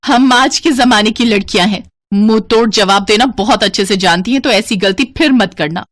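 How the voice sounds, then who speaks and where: A woman's voice: medium pitch, moderate pace, fairly bright tone, dry recording, close to an everyday voice. A woman voicing a spoiled, insolent young daughter-in-law in a cartoon story, with a whiny way of talking. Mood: defiant, bratty. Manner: whiny, insolent, mixes in English slang. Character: brash spoiled young modern daughters-in-law, bratty and defiant. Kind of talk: acted cartoon story dialogue.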